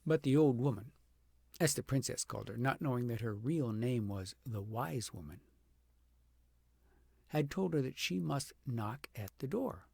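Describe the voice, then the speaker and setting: A man with a husky, creaky voice reads a storybook aloud in an expressive, deliberate way. His pitch sits in a middle male range, and he leans on key words, then eases into softer phrases. The recording is close and dry. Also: no room sound. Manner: dramatic, deliberate phrasing with emphatic swells and hushed drops. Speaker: audiobook narrator reading a fairy tale aloud.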